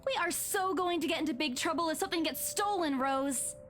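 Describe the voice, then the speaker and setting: A woman's voice: very high voice, brisk, a bit bright, soft background music. An actress playing an earnest, flustered young woman realtor in a visual novel game. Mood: alarmed.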